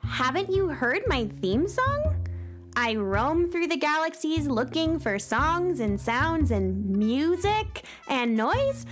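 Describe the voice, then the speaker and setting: A woman's voice: high-pitched, sing-song, slow, music in the background, exaggerated voice. A woman playing a perky, chatty young girl space cowgirl in a children's audio drama. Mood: proud, chatty. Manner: chatty, bubbly, girlish. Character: perky girl space cowgirl who wrangles lost sounds.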